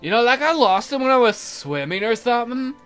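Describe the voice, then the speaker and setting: A man acts out a young fantasy maiden from a visual novel. She is whiny and easily exasperated, with a high, swooping voice. Her talk is slangy and ditzy, and music plays underneath. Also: very animated, brisk, a bit bright, clear, resonant tone, heavily acted. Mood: defensive, embarrassed.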